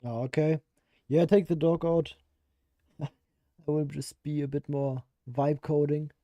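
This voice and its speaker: A man streaming his game development, hoarse with a worn-out voice, thinking aloud, answering chat and laughing at himself. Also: fairly high pitch, fairly even intonation, unhurried, mellow, dry recording. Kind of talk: casual live-stream chat while coding.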